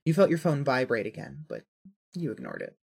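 A narrator reading scripted second-person fiction in an earnest, emotive storytelling manner, with a husky voice. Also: medium pitch, huge pitch swings, a little fast, a little mellow.

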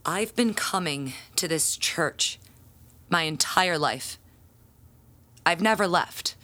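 A stubborn, dramatic churchgoer, slow and swooping. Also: female voice, lowish voice, lively intonation, sharp tone, full character voice. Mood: proud, bitter. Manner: defensive, dramatic, sighing and groaning. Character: stubborn, possessive adult female church bell ringer, self-righteous. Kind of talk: naturalistic audio drama acting.

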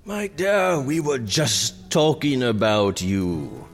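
A man's voice: medium pitch, sing-song, slow, faint music behind. Pompous tipsy king with wild swoops. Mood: fawning, flustered. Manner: jovial, theatrical, haughty. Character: pompous, drunken, self-indulgent prince acting as king. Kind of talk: medieval audio drama acting.

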